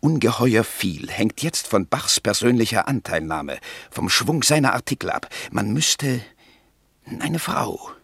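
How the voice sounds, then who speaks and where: An actor in a German radio play voicing a scheming, excitable political campaigner. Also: male voice, medium pitch, a little slow, vocal fry, slightly rough, dry recording, clean studio sound, full character voice. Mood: urgent, scheming.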